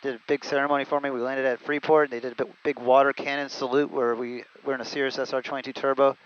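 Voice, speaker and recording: A man's voice: medium pitch, flat delivery, fast, smooth. A man who is a pilot, chatting casually about flying from inside a small jet cockpit. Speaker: pilot, guest co-pilot. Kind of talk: casual chat, recounting flying career. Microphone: aviation headset. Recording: dull, muffled headset audio.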